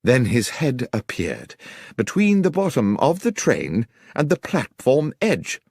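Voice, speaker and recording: A man's voice: medium pitch, huge pitch swings, takes it slowly, creaky, darkish tone, husky, dry recording, clean studio sound. A man reading a story aloud as dramatic, scripted audiobook narration.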